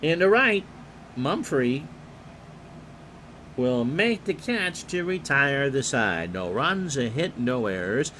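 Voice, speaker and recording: A man calling a replayed baseball game play-by-play in a calm, unhurried way, reading player stats and chatting with viewers. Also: mid-range voice, a little hiss or hum. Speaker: play-by-play announcer and streamer.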